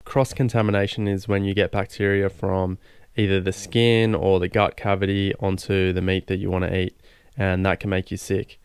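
An Australian man in his thirties talks through a cooking demo in a relaxed, plain way. His voice sits low, stays fairly even in pitch and sounds smooth and clear. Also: normal speed. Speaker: fishing vlogger. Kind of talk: instructional cooking voice-over.

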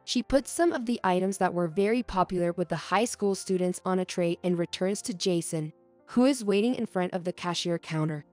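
A woman narrating a heartfelt story in a calm, even way. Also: lowish voice, fairly quick, faint music behind.

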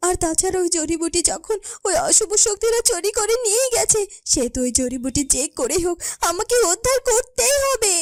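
A woman's voice: extremely high pitch, expressive, brisk, few pauses, no room sound, studio quality. A woman voicing a devoted, determined young heroine in a fairytale cartoon, earnest and pleading. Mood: anguished resolve. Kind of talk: dramatic fairytale cartoon dubbing.

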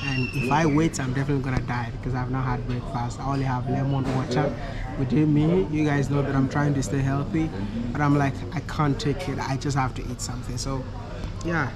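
A man's voice: medium pitch, flat delivery, a little fast. A man vlogging to camera while traveling, chatty and upbeat, talking from an airport and plane on a handheld camera. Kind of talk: vlog monologue to camera. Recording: background noise of airport.

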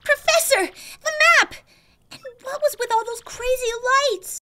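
A woman's voice: very high voice, measured pace, a bit bright, slight background noise. A woman voicing a perky, sassy, inquisitive young female creature student in a video game fan dub. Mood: curious, alarmed.

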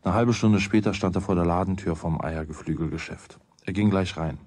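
A man's voice: sits low, brisk, darkish tone, no room sound. A man narrating a children's radio play as its storyteller, in a scripted storytelling style. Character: storyteller of a boy's everyday adventures.

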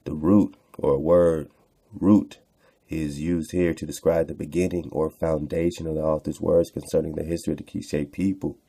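A man's voice: extremely low pitch, little pitch movement, moderate pace, vocal fry, warm tone, breathy edge, no echo. A man hosting a radio show, reading texts aloud with informal commentary, in a rhetorical, preacher-like way, repeating phrases for emphasis.

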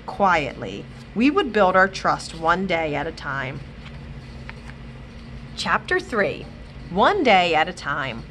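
A woman librarian reading a children's chapter book aloud with expressive, animated storytelling. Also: mid-range voice, slight background noise. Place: library, indoors.

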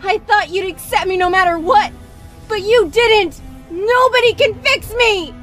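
A very high-pitched cartoon teenage princess. She is intensely emotional and earnest, a magical girl in a fan comic dub. Music plays behind her. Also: unhurried, a bit bright. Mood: anguished.

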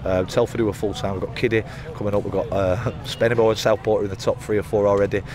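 A man in his thirties, a football manager giving a post-match interview outdoors by the pitch at night, talking in rambling runs full of fillers and restarts. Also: level tone, fast talker. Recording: outdoor interview with loud background noise.

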